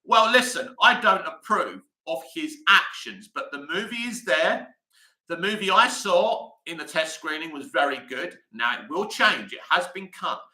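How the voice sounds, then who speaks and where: A man's voice: a little high, big pitch swings, unhurried, sharp tone, roomy sound, low-quality recording. A man from the UK hosting a live stream, chatting and answering viewer comments as he reads them out. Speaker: host.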